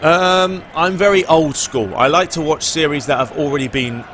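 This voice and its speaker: A man's voice: on the high side, normal speed, a bit bright, slight background noise. A man hosting a gaming video, chatting informally and giving his opinions as he answers questions in a casual commentary style.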